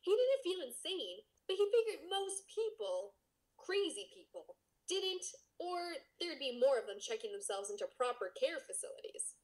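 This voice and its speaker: Female podcast co-host, high and very animated voice, playful, teasing delivery. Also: normal speed, rough recording. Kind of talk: casual banter and humorous read-aloud.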